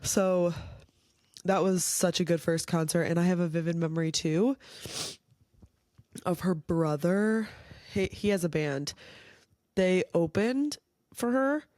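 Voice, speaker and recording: A young woman hosting a casual solo podcast, chatty and rambling, her voice a little nasal and raspy from a cold. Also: on the low side, a little mellow, no room sound, clean studio sound. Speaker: podcast host.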